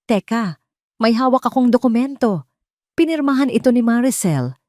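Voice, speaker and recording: An arrogant cheating husband and executive in an audio drama, acted by a woman. He blusters and commands, his pitch pushed up above the narration, in a dry recording. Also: animated, moderate pace, darkish tone, dry recording, lightly acted. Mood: panicked insistent.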